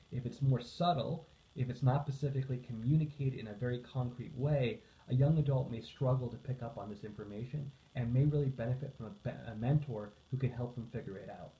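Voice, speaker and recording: A man giving an earnest, explanatory informational talk as a counselor and coach, stressing key phrases as he goes. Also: medium pitch. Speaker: psychotherapist, social worker and coach. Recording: echoey room, slightly dull sound.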